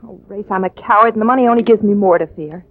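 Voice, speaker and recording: A woman's voice: medium pitch, fast talker, natural voice. A woman acting an alluring, scheming femme fatale client in a 1950s radio drama, with a theatrical manner. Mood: confiding, afraid. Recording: muffled, hissy vintage radio recording.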